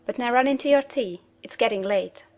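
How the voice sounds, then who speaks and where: A man doing a gentle, loving older sister. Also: very high voice, brisk, pitched above the performer's own voice. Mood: tender. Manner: gentle, soothing.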